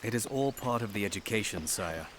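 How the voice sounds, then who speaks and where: An actor playing a loyal knight and royal counsellor in an audio drama, speaking formally and persuasively. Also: male voice, lowish voice, lively intonation, brisk, background noise, natural voice. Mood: earnest. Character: loyal, earnest, firm knight counselling his king.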